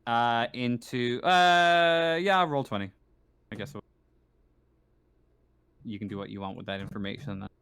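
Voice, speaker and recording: A man running a casual online tabletop game as game master, narrating and ruling in a halting, hesitant way. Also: medium pitch, a bit bright, clear, resonant tone.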